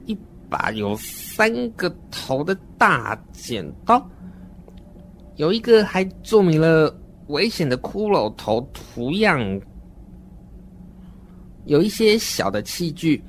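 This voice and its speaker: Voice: medium pitch, sing-song, slow, pauses often, a little hiss or hum. An adult performer voicing a naive, uneasy, wordy seven-year-old child who narrates a horror radio drama in an earnest, dramatic way.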